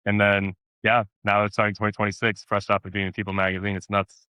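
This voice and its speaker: A man chatting casually as an interview guest, telling stories in an animated way, repeating words for emphasis and acting out quotes. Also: on the low side, fast talker, clear, resonant tone, dry recording. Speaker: actor being interviewed.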